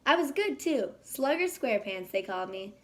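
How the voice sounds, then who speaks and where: Young male cartoon sponge played by a female reader, high voice, keen, enthusiastic storybook character. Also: big pitch swings, moderate pace, a bit bright. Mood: excited, eager. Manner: enthusiastic, bubbly.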